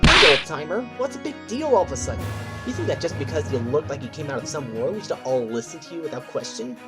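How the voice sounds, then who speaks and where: Woman playing brash, low-pitched, defiant witness. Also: huge pitch swings, normal speed, loud music behind the voice. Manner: cheeky, confrontational. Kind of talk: amateur audio drama acting.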